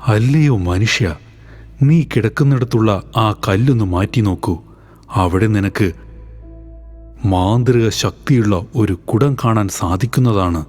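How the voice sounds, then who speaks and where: A man voicing a mysterious spirit speaking from a magic well, slow and solemn, for an animated storybook. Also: fairly low pitch, in a large hall, faint music behind.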